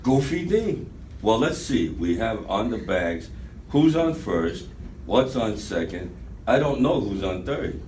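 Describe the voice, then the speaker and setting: An older man playing the deliberate, explanatory straight man in a vintage comedy routine, reading it as amateur radio theatre. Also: fairly low pitch, big pitch swings, darkish tone. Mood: matter-of-fact, insistent. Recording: roomy, distant mic, faint noise.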